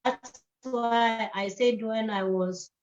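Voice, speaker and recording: A woman's voice: on the high side, fairly even intonation, slow, a little mellow, lo-fi sound. A woman from Kenya speaking earnestly as a guest in a panel talk, repeating herself and restarting phrases. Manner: earnest, repetitive, with many restarts. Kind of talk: panel talk answering questions.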